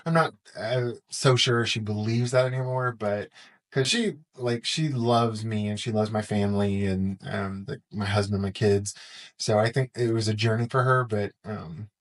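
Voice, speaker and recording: A man's voice: lowish voice, steady tone, normal speed. Middle-aged American man in casual conversation. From Texas, United States. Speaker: podcast guest, parenting podcaster.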